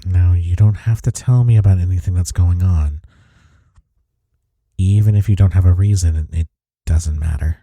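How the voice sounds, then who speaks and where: A man playing a devoted, gentle boyfriend in an intimate comfort audio roleplay, soft-spoken and reassuring. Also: low-pitched, normal speed, no room sound. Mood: tender, reassuring.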